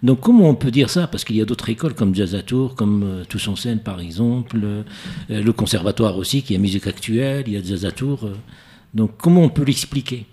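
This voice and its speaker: A man's voice: fairly low pitch, brisk, dark tone, slightly rough. A man hosting a radio interview who is from Morocco, asking long questions while searching for words. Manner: searching for words, repeats himself. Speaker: radio host.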